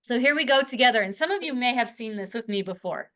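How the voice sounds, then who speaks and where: A woman's voice: a little high, expressive, fast talker, a bit bright. A woman leading a tutor training session, speaking in an encouraging, reassuring way. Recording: distant room mic.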